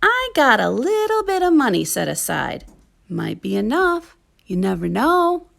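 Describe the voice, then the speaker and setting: A kind, practical storybook grandmother, played by a woman reading aloud. She speaks without hurry, with a very creaky, gravelly edge to her voice. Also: sits high, sing-song, measured pace, a bit bright, pitched above the performer's own voice, exaggerated voice. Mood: gentle, hopeful. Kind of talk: children's picture book read-aloud.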